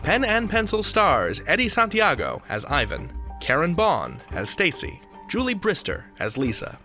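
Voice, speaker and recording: A man's voice: fairly high pitch, bright, forceful tone. A man hosting a podcast in a scripted, conversational storytelling style, playful and theatrical in his emphasis. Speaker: podcast host. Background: music bed.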